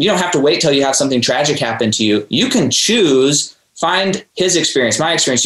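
A man's voice: medium pitch, fairly bright tone, roomy sound. A man hosting a podcast, talking earnestly and preachily, addressing his listeners directly. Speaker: podcast host. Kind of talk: motivational interview talk.

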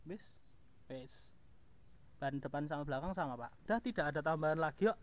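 A man's voice: a little high, brisk. A man talking casually and colloquially to viewers as a vlog host, recorded outdoors on an action camera. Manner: informal, colloquial, addressing the viewer. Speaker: motovlog host. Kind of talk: casual vlog walkaround of his motorbike. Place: outdoors in a house yard. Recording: outdoor action camera, clear background noise.

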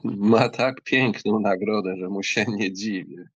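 A man playing a reserved, watchful young count in an audiobook, speaking tersely and deliberately. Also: on the low side, breathy edge, dry recording. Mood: dry curiosity. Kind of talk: dramatized audiobook reading.

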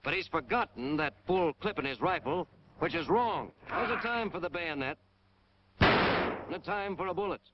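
A man's voice: high-pitched, lively intonation, moderate pace. An American man narrating a 1940s military training film in a hard-boiled, punchy, emphatic way. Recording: dull, noisy 1940s film soundtrack.

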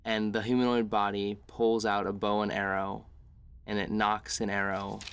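A man narrating a tabletop game as game master, descriptive, measured and dramatic. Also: on the low side, completely flat delivery, clear, resonant tone.

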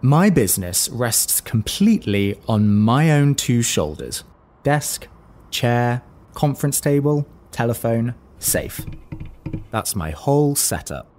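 Fussy, animated young businessman. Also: medium pitch, slow, a little hiss or hum. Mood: smug, brooding. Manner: clipped, emphatic, repeats himself. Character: fussy, suspicious, self-satisfied young businessman. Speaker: actor. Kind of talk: audio drama monologue.

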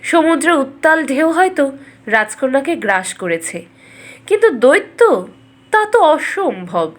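A woman's voice: sits high, sing-song, a little slow, voice pushed up. A woman narrator voicing scornful male courtiers in a fairy tale, incredulous and mocking. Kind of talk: storybook narration with acted dialogue.

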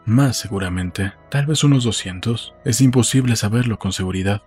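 A man playing an uneasy, wistful man in an audiobook, speaking in a slow, subdued way. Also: lowish voice, a little mellow, faint music behind, lightly acted. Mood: pensive. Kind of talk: audiobook dialogue acting.

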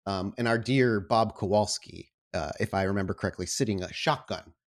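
Low-voiced man narrating as game master. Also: a little fast. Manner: descriptive, scene-setting. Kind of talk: narrating a tabletop role-play scene.

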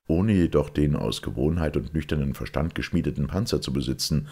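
Male audiobook narrator, rock-bottom register, heavy gravel, expressive and measured literary storytelling. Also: very deep voice. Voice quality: gravelly, husky. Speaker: audiobook reader.

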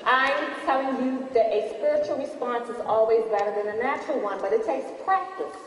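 A woman's voice: high voice, fairly quick, close to an everyday voice. An actress playing a devout, patient woman who speaks instructively in a stage play. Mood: patient teaching. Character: patient, devout woman gently teaching spiritual lessons. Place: large theater stage. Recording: echoey hall, distant mic, faint noise.

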